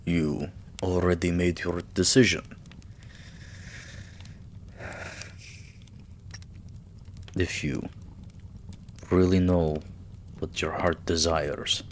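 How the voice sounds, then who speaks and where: A man playing a tender, devoted vampire king in a romantic ASMR roleplay, speaking in a hushed, breathy, soothing way that often slips into a whisper. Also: low-pitched, little pitch movement, slight background noise. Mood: tender, earnest. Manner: hushed, slow, soothing, affectionate.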